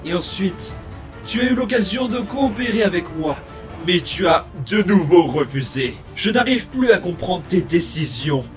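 A man's voice: high-pitched, lively intonation, moderate pace, soft background music. A man playing a bitter, wounded demon boy in a dramatic comic dub, brooding and menacing. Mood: cold menace.

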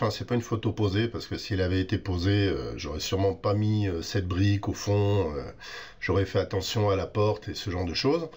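Low-voiced French man with a cold. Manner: relaxed, thinks aloud with many hesitations. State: stuffy with a cold. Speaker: photo editing instructor. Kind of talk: screen-recorded software tutorial.

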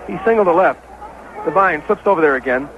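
Man, American accent, high-pitched, excitable partisan baseball commentary, dull vintage radio sound, noisy background. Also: fairly quick. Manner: excitable, partisan home-team caller. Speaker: baseball play-by-play announcer. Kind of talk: 1970s live radio sports commentary.

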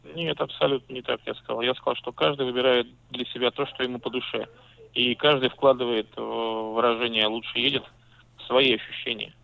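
A man's voice: mid-range voice, fairly even intonation, a little fast, gravelly, no echo. A man working as a used-car salesman, answering in a patient, businesslike way that turns mildly argumentative. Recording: tinny phone line.

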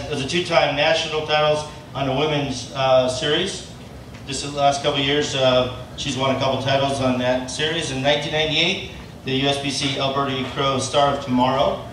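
A man's voice: medium pitch, a bit bright. A man introducing the coaches to an audience, rambling with fillers and self-deprecating asides. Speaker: event presenter introducing coaches. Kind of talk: speech to an audience. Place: large event tent, from far off. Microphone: PA system, recorded by a phone in the audience. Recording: echoey tent, noisy, distant.

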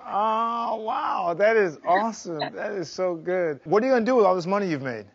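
A middle-aged man hosts a talk show from a studio, his voice sitting high for a man. He sounds keen and encouraging with his guests. The audio is a little dull. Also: lively intonation, moderate pace, husky. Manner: enthusiastic, encouraging. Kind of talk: upbeat talk show interview. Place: TV studio set.

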